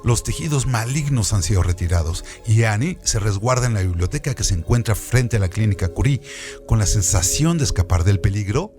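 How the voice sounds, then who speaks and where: A man's voice: lowish voice, animated, a little fast. A man reading a scripted literary essay in a formal, didactic manner, as a radio presenter. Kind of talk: scripted literary essay narration with question hooks. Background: background music.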